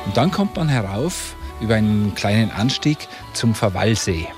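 A man's voice: on the low side, lively intonation, moderate pace, over music. A man from Tyrol with a Tyrolean Austrian accent, talking conversationally as a local guide. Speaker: local guide being interviewed. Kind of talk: conversational guided commentary on the region.

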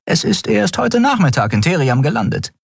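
Man doing a predatory alien first officer woman, raised husky voice, brisk reporting, storybook reading. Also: high voice, sing-song, dry recording, studio quality, voice pushed up, exaggerated voice. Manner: crisp military report.

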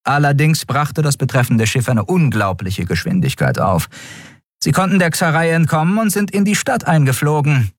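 A man's voice: on the high side, big pitch swings, moderate pace, no room sound, studio quality, pitched above the performer's own voice. A man voicing a female alien first officer who reports in a formal military manner, in an audiobook.

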